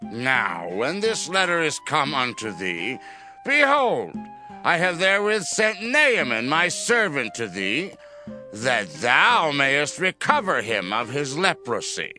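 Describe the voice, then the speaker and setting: A man's voice: fairly high pitch, wildly swooping pitch, slow, pushed and bright, soft background music, heavily acted. An actor playing an imperious ancient king in a dramatized scripture reading, commanding and theatrical. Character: imperious, grandly theatrical male king of Syria.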